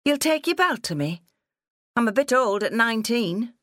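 A woman's voice: fairly high pitch, big pitch swings, moderate pace, fairly bright tone, dry recording, studio quality, pitched above the performer's own voice. A woman voicing a rebellious nineteen-year-old farm daughter in an audiobook, snappy and resentful. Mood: quietly challenging. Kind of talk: audiobook character dialogue.

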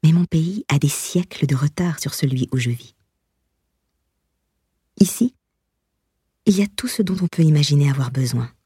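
A woman with a low, very breathy and husky voice reads a memoir as an audiobook narrator. Her tone is dark and soft-edged. The delivery is measured and expressive, recorded dry and close. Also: no echo.

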